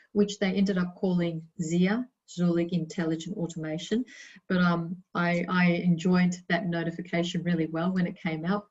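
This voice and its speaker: A woman's voice: lowish voice, darkish tone. Italian-born woman presenting, muffled audio. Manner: chatty, emphatic storytelling with fillers. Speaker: innovation presenter. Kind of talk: webinar presentation.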